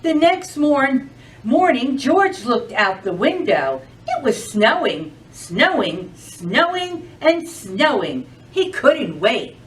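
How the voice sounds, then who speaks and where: A woman reads a picture book to children in a fairly high voice. Her intonation swings widely and her delivery is unhurried and expressive, as at a library storytime. The microphone sits some way from her. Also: recorded from a distance, rough recording. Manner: expressive, addresses children with questions. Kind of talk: children's storybook read-aloud.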